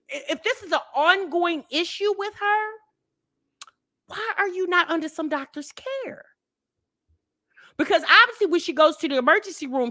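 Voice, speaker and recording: High, lively woman chatting to viewers. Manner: chatty, talks straight to viewers, repeats herself for emphasis. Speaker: reaction channel host. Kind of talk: casual commentary to viewers.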